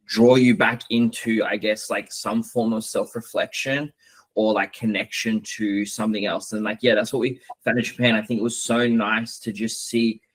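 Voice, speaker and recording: A man from Australia, co-hosting a podcast and talking in a rambling, halting way. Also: on the low side, rough recording. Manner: rambling, halting, says his voice is loud. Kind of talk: casual podcast chat.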